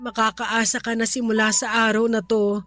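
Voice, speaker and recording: A woman's voice: fairly high pitch, level tone, moderate pace, fairly bright tone, faint music behind, lightly acted. A woman playing a repentant, affectionate middle-aged mother in an emotive Filipino radio drama. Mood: remorseful, fond.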